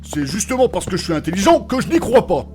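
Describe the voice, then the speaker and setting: A man's voice: sits high, sing-song, fast talker, music in the background. A man playing a clever, skeptical, argumentative adventurer in a comic fantasy audio drama, blunt and colloquial.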